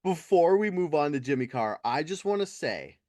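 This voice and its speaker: A middle-aged man co-hosting a casual podcast, talking in an animated, rambling way and swearing freely. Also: a little high, normal speed. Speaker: podcast co-host. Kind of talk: casual profane podcast chat.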